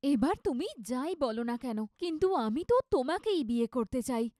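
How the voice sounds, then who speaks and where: A woman's voice: sits high, animated, normal speed, mellow, no room sound, studio quality. A woman voicing a lovestruck, insistent rich college girl in a cartoon story, earnest and pleading. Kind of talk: dramatized cartoon romance story.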